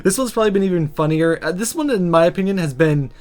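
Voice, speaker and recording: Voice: medium pitch, lively intonation, quick pace, darkish tone. A video game host chatting casually and thinking aloud while playing and narrating a visual novel. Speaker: host of a gaming video series. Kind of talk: let's play commentary and read-aloud narration of a visual novel. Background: music.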